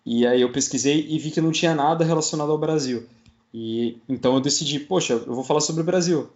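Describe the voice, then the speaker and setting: A man's voice: on the high side, fast, darkish tone, dull audio. A man with a Brazilian accent talking casually as an interview guest. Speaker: guest, podcaster. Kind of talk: casual chat.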